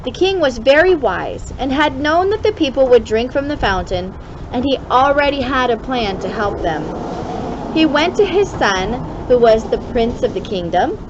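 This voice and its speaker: A woman reading a children's storybook aloud in an expressive way, with deliberate dramatic emphasis. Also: high voice, lo-fi sound, noisy background. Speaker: storybook reader for a kids club.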